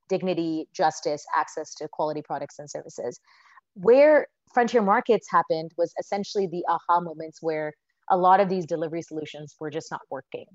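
A woman's voice: on the low side, no echo. An American woman in her thirties, a company founder answering interview questions earnestly, with frequent fillers and restarts. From United States. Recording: slightly dull, lo-fi sound.

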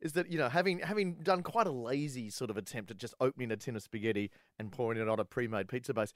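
A man's voice: medium pitch, fast, no room sound, clean studio sound. A man co-hosting a comedy radio show, teasing guests in a playful way. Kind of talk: comic radio banter.

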